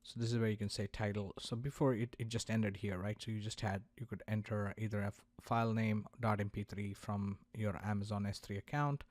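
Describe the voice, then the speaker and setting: Man narrating a dry-sounding software tutorial. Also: fairly low pitch, flat delivery, a little fast, few pauses, no echo. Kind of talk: product demo narration, explanatory.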